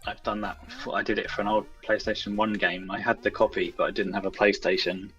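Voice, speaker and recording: A man with a somewhat low voice chats casually as a guest developer. He thinks aloud hesitantly and plays himself down. Faint music runs underneath his speech. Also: little pitch movement, fast, fairly bright tone, dull audio. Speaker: game developer, stream guest. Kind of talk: casual interview chat. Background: music in the background.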